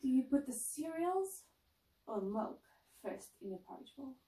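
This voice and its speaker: A woman's voice: high-pitched, very animated, measured pace, dark tone. A woman in her twenties interviewing a guest in a casual, bubbly way, with frequent ums and restarts. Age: 20s. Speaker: announcer and interviewer. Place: indoor lounge set, seated on couch. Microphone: far camera mic. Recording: roomy echo, distant mic.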